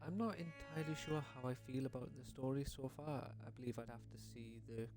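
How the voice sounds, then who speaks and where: A man's voice: mid-range voice, brisk, music in the background. A young adult man giving casual, unscripted game commentary as a video creator, informal, tentative and rambling.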